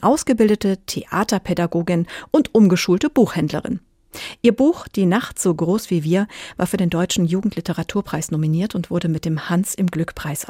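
A woman's voice: fairly low pitch, darkish tone, no room sound, studio quality. A woman presenting an audiobook review programme as host, in a scripted style. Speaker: radio host.

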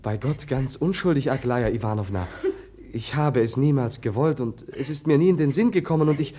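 A man's voice: medium pitch, fairly quick, dark tone, slight background noise, natural voice. Guileless, awkward nobleman, played by a male actor, mid-range voice, hesitant and polite, classic radio drama. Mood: flustered, earnest. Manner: hesitant, stammering, earnest, polite. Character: gentle, awkward, guileless Russian prince. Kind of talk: German radio drama acting.

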